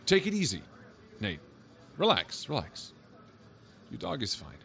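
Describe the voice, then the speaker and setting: A man's voice: medium pitch, huge pitch swings, measured pace, creaky, fairly bright tone, full character voice. An actor playing a skeptical male police officer who teases and jokes in a dry way. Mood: calming. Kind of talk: audio drama acting.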